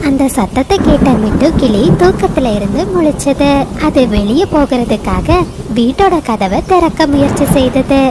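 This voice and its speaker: A woman's voice: sits high, animated, normal speed. A woman narrating a scripted cartoon story for children. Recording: noisy track with outdoor ambience.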